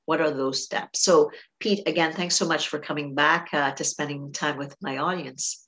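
A woman's voice: sits low, fairly even intonation, normal speed, background noise. A middle-aged woman from Trinidad hosting a podcast, chatty and storytelling, talking over a remote call. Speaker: podcast host. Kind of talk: conversational interview.

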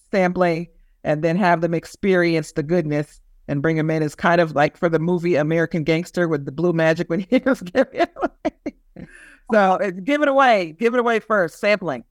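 A woman's voice: fairly low pitch, normal speed, dry recording. Woman podcast guest, casual panel chat, really dry audio. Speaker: podcast guest, category management professional.

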